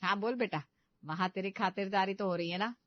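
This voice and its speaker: A woman's voice: mid-range voice, fairly quick, dry recording, dull audio, natural voice. A woman voicing a bossy, insistent middle-aged mother in a Hindi comedy cartoon. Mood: coaxing, firm.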